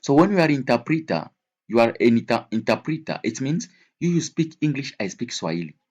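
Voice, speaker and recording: A man's voice: medium pitch, expressive, brisk, a little mellow, husky. A man teaching an English vocabulary lesson in a didactic way, repeating key words and switching between languages.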